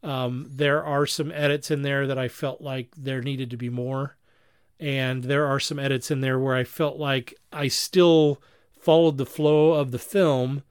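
A man's voice: mid-range voice, no room sound, clean studio sound. A man co-hosting a podcast, chatting casually about films and repeating phrases as he thinks. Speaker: podcast host. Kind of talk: casual chat, informal film reviewing.

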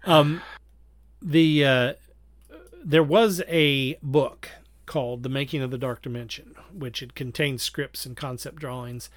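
A man's voice: mid-range voice, fairly even intonation, measured pace, no echo. Reflective man in close, dry sound. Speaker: podcast co-host. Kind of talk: casual analytical fan discussion.

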